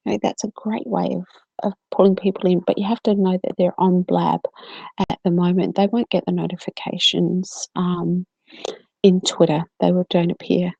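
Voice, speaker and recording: A woman's voice: lowish voice, little pitch movement, normal speed, creaky, mellow, breathy edge, no echo, highs cut off. A woman from South Australia with a South Australian accent, co-hosting a casual live-stream Q&A and chatting to viewers, with plenty of ums. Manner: chatty, many ums, answers viewers by name. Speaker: co-host.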